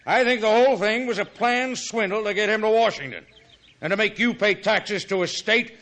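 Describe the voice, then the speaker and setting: Give a crooked saloon boss of the Old West. His voice is somewhat high, with a sharp edge. He blusters and insinuates defensively, as villains do in old radio westerns. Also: moderate pace. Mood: insinuating.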